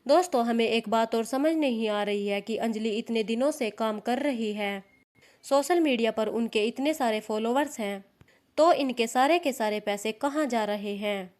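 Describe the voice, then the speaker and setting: Woman doing scripted drama commentary, highish voice, kinda preachy and opinionated. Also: normal speed, a little mellow. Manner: opinionated, admonishing. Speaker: narrator of a social media gossip news channel. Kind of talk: scripted commentary addressed to viewers.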